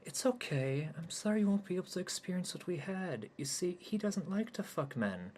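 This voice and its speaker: A man's voice: high-pitched, very animated, normal speed, darkish tone, voice pushed up. A man voicing a flirty Eastern European woman in her forties, gushing and patronizing.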